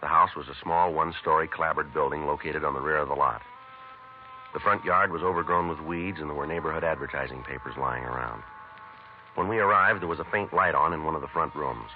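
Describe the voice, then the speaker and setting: An actor playing a terse police detective sergeant who narrates a 1950s radio crime drama in a flat, clipped, deadpan way. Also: male voice, very deep voice, brisk. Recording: lo-fi vintage radio broadcast with noise.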